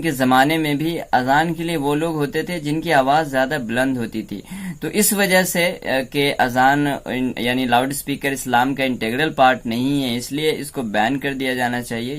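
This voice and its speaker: Higher-pitched man explaining. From India. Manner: didactic, step-by-step explaining with English words mixed in. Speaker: presenter of a political analysis video. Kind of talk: explanatory commentary to camera.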